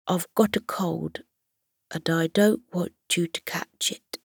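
A woman voicing a small, timid and polite male hedgehog in a children's storybook, speaking softly and hesitantly. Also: on the low side, fairly even intonation, slow, gravelly, husky, no echo, studio quality, heavily acted. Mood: sickly, cautious.

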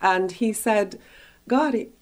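A woman's voice: medium pitch, takes it slowly, darkish tone. A woman hosting a conversational author interview, asking questions and commenting. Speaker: radio show host.

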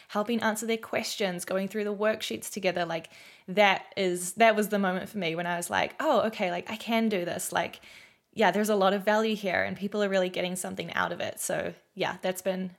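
A woman's voice: medium pitch, gravelly. Chatty young woman co-hosting a podcast. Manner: chatty, many "like" fillers, upbeat. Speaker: podcast co-host. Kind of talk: casual chat.

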